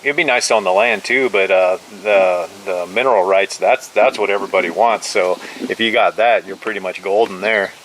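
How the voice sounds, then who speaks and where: Low-voiced American man, casual radio chat. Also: moderate pace, hardly any pauses, fairly bright tone, a little hiss or hum, poor audio.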